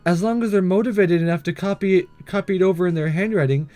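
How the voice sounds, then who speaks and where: Visual novel schoolgirl class representative, read by a man: conscientious, careful, formal, higher-pitched, music behind. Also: quick pace, darkish tone, dry recording, natural voice. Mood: troubled, conscientious. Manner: hesitant, careful, formal wording.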